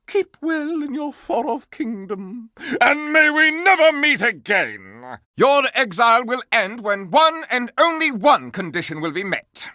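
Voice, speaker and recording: A man's voice: very high voice, sing-song, unhurried, sharp tone, no echo, studio quality, full character voice. A man voicing a flamboyant, pompous courtly dandy, a living candelabra in a video game, with florid, theatrical, grandiloquent delivery. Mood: haughty, imperious.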